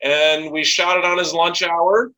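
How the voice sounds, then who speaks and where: An American man in his forties speaks with a very bright, forceful voice pitched a little high for a man. He is a business owner being interviewed. He talks in a conversational, storytelling way. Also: little pitch movement, a little slow, low-quality recording. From United States (Chicago and Los Angeles). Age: 40s.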